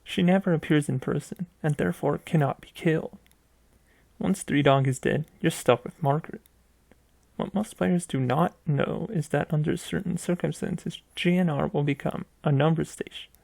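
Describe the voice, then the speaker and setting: Male narrator, scripted reading, slightly high pitch, frequent vocal fry, halting delivery with repeated phrases. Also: a little mellow, no echo. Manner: reads aloud haltingly, stumbling and repeating phrases. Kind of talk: scripted narration of a video game mystery story.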